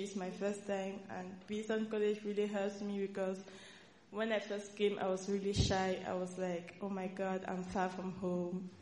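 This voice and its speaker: Young woman from Cameroon, student speaker; hesitant and searching, even flat delivery, echoey hall. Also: mid-range voice, slight background noise. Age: young adult. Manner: hesitant, searching for words, many likes. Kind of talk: nervous informal speech to a congregation. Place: church hall. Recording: echoey hall with faint ambience.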